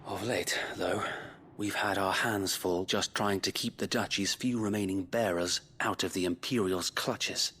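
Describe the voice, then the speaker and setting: Low-voiced, weary knight, loyal and formal. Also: male voice, steady tone, a little slow, bright, forceful tone, slight background noise. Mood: weary, resolute. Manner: formal, archaic speech. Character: loyal middle-aged knight, resistance leader, weary but respectful. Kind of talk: dramatic video game cutscene acting.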